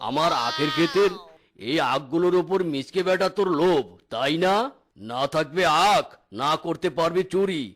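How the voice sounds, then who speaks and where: A man's voice: a little high, moderate pace, close to an everyday voice. A man voicing an indignant, vengeful tiger farmer in a cartoon, blustering and threatening in an exclamatory way. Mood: angry, vengeful. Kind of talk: cartoon voice acting. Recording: music behind the voice.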